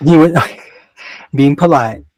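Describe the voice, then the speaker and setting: A man's voice: a little high, slow, darkish tone, no echo. A man with a mixed Canadian and West Coast American accent giving a casual astrology chart reading, rambling and often interrupting himself. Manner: rambling, self-interrupting, digressive.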